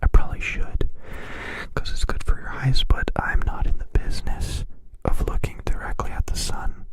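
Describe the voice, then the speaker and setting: Playful whispering man, Polish native speaker. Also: moderate pace. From Canada. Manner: whispering throughout; playful, repeats words softly for effect. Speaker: ASMR live streamer. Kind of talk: whispered live-stream chat.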